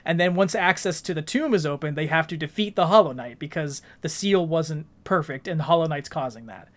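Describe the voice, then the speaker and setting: A male podcast co-host with a somewhat high-pitched voice. He talks in a casual, explanatory way, using everyday colloquial language as he discusses video games. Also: brisk.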